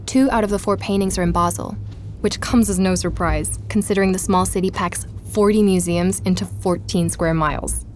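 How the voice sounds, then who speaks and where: A woman's voice: medium pitch, fairly even intonation, moderate pace, a little mellow, a little hiss or hum, lightly acted. An actress playing a curious, candid woman podcaster, narrating an audio drama like a conversational, reflective diary. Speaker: narrator and interviewer.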